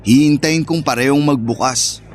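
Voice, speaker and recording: A man's voice: medium pitch, normal speed, close to an everyday voice. A man playing a persistent, devoted suitor who sweet-talks and teases in an audio romance drama. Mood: pleading, hopeful. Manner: teasing, smooth-lined courting. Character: persistent, sweet-talking male suitor, playful and devoted to his ex. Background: music.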